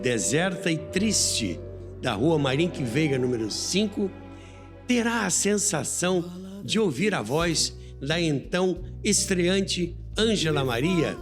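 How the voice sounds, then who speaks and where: A man's voice: fairly high pitch, animated, slow. A Brazilian man hosting and narrating a scripted history documentary in a dramatic, nostalgic storytelling manner. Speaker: podcast host and narrator. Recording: long reverb, faint music behind.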